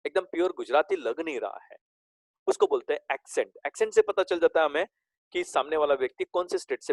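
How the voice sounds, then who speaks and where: A male teacher giving a recorded class lesson. He reads English lines slowly and drawn out, explains them, and keeps checking in with "okay?". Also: a little high, no echo. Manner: slow, drawn-out reading of English lines, then explains in Hindi.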